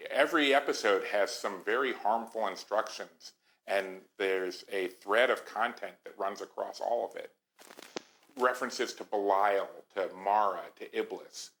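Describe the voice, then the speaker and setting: An actor playing a sober, worried investigator of occult messages, speaking deliberately and seriously in found-footage game style on a tape recording. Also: male voice, fairly low pitch, fairly bright tone, low-quality recording. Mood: grave, concerned.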